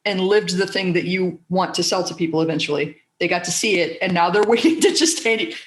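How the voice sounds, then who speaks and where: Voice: medium pitch, brisk, a bit bright. A podcast host with a soothing voice chatting candidly and animatedly, swearing casually now and then. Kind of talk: casual conversation. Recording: echoey room.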